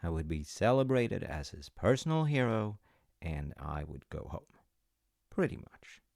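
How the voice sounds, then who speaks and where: A man telling a gentle, rambling story as a comforting dad, with self-mocking humor, close to the mic. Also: low voice, sing-song, unhurried, vocal fry, dry recording. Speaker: audio roleplay storyteller playing a dad.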